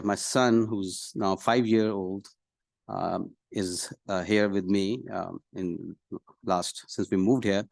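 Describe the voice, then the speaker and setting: Low-voiced middle-aged man, halting speaker. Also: vocal fry, no room sound. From Pakistan. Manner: halting, pausing often mid-phrase. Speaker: city council candidate. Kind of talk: self-introduction at a public meeting. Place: council meeting room, speaking at a podium.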